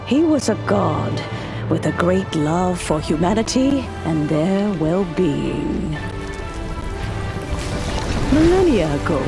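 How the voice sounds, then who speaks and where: An actress playing a serene, wise immortal adeptus in a video game, telling old legends in a formal, reverent way with music behind her. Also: lowish voice. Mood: grave storytelling. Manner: measured, formal, solemn storytelling delivery. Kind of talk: dramatic video game cutscene narration. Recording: background music under voice.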